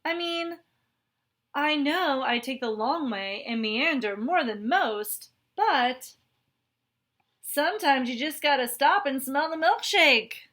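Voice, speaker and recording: Woman playing a meandering storybook straw, easygoing, wise, raised pitch, slow gentle advice. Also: animated, exaggerated voice. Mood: advising. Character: easygoing, wise straw who takes the long way.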